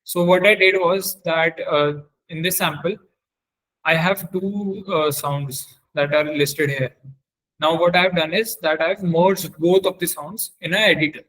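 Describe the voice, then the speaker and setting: Guy with a kinda high voice doing a software demo for a class, keeps stopping to find his words. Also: fairly even intonation, rough recording. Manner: halting, searching for words. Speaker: webinar trainer. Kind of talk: software demonstration lesson.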